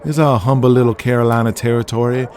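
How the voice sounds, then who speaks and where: A man playing a skinny, theatrical wrestling villain with a teasing, flowery, drawn-out delivery in an audio drama. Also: mid-range voice, fairly even intonation, a little mellow, close to an everyday voice. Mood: mocking. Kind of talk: audio drama character acting.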